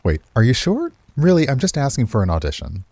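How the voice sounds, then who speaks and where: A man's voice: medium pitch, wildly swooping pitch, a little fast, warm tone, husky, no room sound, voice pushed up, heavily acted. Man voicing an eager young woman dancer. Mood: pleading, insistent.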